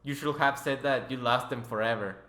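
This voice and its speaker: A man's voice: mid-range voice, fairly quick, pushed and bright. A man hosting a casual podcast interview, joking and teasing in a sarcastic way. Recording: roomy, echoey voice recording.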